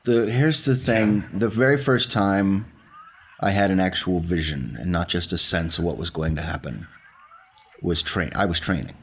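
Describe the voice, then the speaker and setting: Deep, husky-voiced man playing a game. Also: moderate pace. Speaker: tabletop game player. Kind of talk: conversational in-game discussion.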